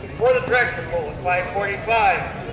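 A man's voice: high voice, expressive, normal speed. A man making routine schedule announcements over a fairground public address system. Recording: distant echoey loudspeaker with crowd noise.

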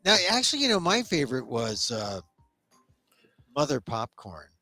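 A man's voice: mid-range voice, wildly swooping pitch, normal speed, bright, forceful tone, dry recording, soft background music. An American man in his sixties hosting a casual, opinionated chat on a headset mic, rambling and restarting his thoughts. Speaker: talk show host. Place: small indoor room, online talk show.

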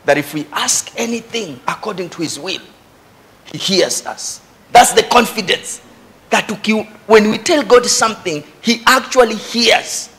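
A middle-aged Kenyan man preaches from a church stage in a high-pitched voice. His delivery is emphatic, repeating key phrases to drive them home. He speaks with a Kenyan accent into a handheld microphone. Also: a bit bright. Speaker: pastor. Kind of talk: animated church sermon with Swahili asides. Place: church stage before a congregation.

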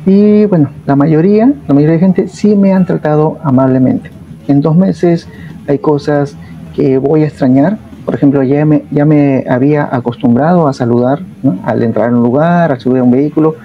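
A Peruvian man in his thirties telling a personal travel story straight to the camera, reflective and steady, often adding little tag questions. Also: on the high side, moderate pace, lo-fi sound, slight background noise. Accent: Peruvian Spanish. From Peru. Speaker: vlogger.

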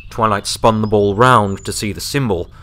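A man's voice: fairly low pitch, normal speed, bright, forceful tone, slight background noise. A man reading scripted fiction as a story narrator.

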